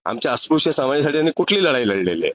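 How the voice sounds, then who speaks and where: A man's voice: mid-range voice, very animated, rapid-fire. A man in an argumentative phone conversation, speaking insistently and challenging the other side with pointed rhetorical questions. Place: phone call. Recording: recorded phone call.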